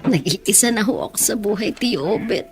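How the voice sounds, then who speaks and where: An actress with a Filipino accent playing a poor, orphaned young bar hostess in a radio drama, wistful and soft-hearted. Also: female voice, medium pitch, expressive, moderate pace, a little hiss or hum, lightly acted. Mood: sorrowful. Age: young adult.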